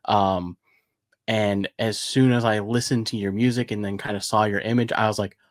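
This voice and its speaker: A man's voice: on the low side, steady tone, normal speed, no echo, studio quality. A man hosting an interview, chatting enthusiastically and rambling with lots of fillers. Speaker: interview host. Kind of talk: casual interview chat.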